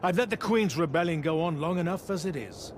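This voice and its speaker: A man's voice: a little high, lively intonation, fairly quick, a little hiss or hum, close to an everyday voice. A man playing a menacing, imperious warlord in a video game, speaking in a formal, commanding way. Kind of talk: dramatic video game acting.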